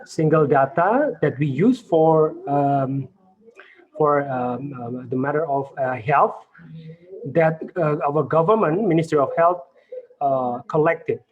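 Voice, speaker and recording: A man with an Indonesian accent and a slightly high voice, asking a polite, hesitant question full of fillers. His voice comes through thin and narrow, like a phone line on a video call. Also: slow pace. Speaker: audience member asking a question. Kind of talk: polite question on an online panel. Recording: tinny phone-like call audio.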